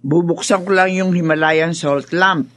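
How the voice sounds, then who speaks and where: A woman's voice: lowish voice, steady tone, normal speed, close to an everyday voice. An actress playing a sleepless, fussy older Filipino mother in a radio drama, complaining in exclamations. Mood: curious, hopeful.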